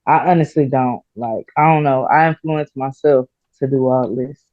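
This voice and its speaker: Halting, soft-spoken woman rapper, muffled. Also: very deep voice, no room sound. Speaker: rapper guest. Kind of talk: casual interview answers. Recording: slightly dull, muffled audio.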